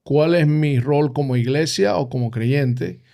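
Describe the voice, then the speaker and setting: A Dominican man co-hosting a casual church talk, speaking in a thoughtful, halting way with many fillers. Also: a little high, gravelly, mellow. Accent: Dominican Spanish. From Dominican Republic. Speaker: podcast co-host.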